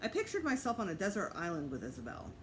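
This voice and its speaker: A woman teacher reading a children's storybook aloud in an expressive narrating style. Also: medium pitch, quick pace, a little hiss or hum, poor audio.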